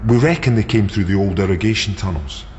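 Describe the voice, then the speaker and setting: An actor playing a bitter, chummy yet unsettling Scottish ex-soldier in a naturalistic radio drama. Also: male voice, on the low side, quick pace, a little mellow, close to an everyday voice. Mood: haunted, chatty. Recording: dull sound, long reverb, noisy background.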